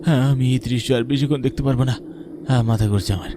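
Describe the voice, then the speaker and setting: A man's voice: medium pitch, fast, background noise, close to an everyday voice. A man voicing a frightened young village boy who tells his ghost story in an earnest, dramatic first-person style for a horror cartoon. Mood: terrified, dramatic.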